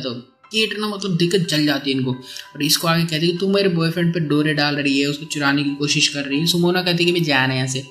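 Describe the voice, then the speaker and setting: A man's voice: on the high side, fairly bright tone. A man narrating a movie plot as a casual voice-over, conversational and colloquial, often rephrasing as he goes. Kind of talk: casual film plot explanation in storytelling voice-over. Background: background music.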